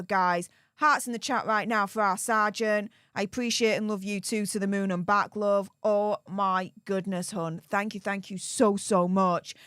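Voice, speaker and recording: A woman's voice: on the high side, fairly bright tone, clear, resonant tone, no echo, studio quality. A woman with a Yorkshire accent hosting a live stream, reading out donations with perky, gushing enthusiasm. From Yorkshire, England.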